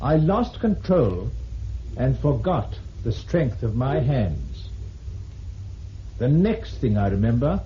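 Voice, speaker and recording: British courtroom prosecutor, formal and declaiming, lively intonation, old radio with faint hiss. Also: male voice, medium pitch, slow pace, long pauses, highs cut off. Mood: grave. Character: formal British Crown Prosecutor, male, methodical. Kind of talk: vintage radio drama, reading aloud in court.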